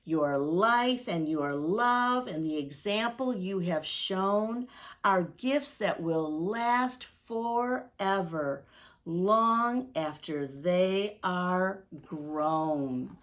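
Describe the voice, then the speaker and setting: A woman's voice: lowish voice, expressive, extremely slow. A woman voicing a humble, devout old Santa in a storybook, praying in a reverent, pleading manner. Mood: earnest, reverent.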